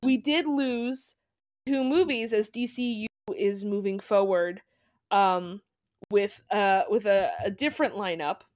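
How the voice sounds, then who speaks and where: A woman's voice: mid-range voice, a little slow, a little mellow. A woman co-hosting a podcast, chatting casually with lots of asides and fillers. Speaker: podcast co-host. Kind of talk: casual chat.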